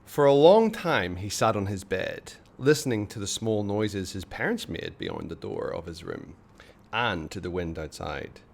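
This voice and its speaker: Storyteller, male, fairly low pitch. Expressive book narration, measured and dramatic, with pauses. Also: gravelly. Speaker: storyteller reading a children's book aloud.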